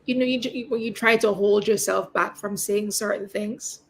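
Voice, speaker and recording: A hoarse woman on a video call, speaking haltingly as she describes feelings while standing in for someone's husband. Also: medium pitch, steady tone, slight background noise. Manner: searching, hesitant phrasing.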